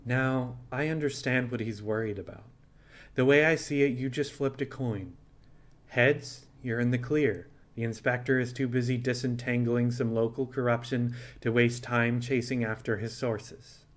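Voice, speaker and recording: A man voicing a frank, thoughtful animal pirate captain with a level, folksy and deliberate way of talking. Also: mid-range voice, fairly even intonation, lightly acted.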